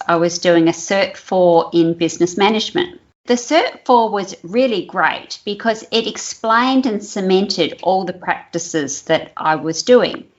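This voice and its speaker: A woman's voice: medium pitch, highs cut off. A woman hosting a business podcast, introducing her guest and topic in a friendly way. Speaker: podcast host. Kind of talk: podcast interview.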